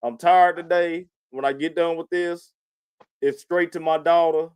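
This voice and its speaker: A man's voice: a little high, steady tone, a little slow, a little mellow, clean tone, dry recording. A man hosting a podcast in casual, slangy talk, often addressing the listener as "man". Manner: informal slangy talk, frequent "man" and "you know what I'm saying". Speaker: podcast host.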